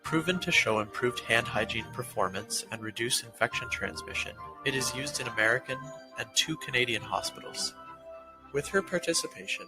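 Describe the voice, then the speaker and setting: A man's voice: mid-range voice, expressive, moderate pace, poor audio. Man reading an award citation over music. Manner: reads formally from a script. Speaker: award presenter. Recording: music behind the voice.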